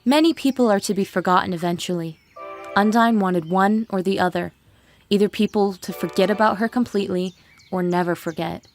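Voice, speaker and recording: A woman's voice: mid-range voice, a little fast, faint music behind. A woman reading scripted, dramatic storytelling narration.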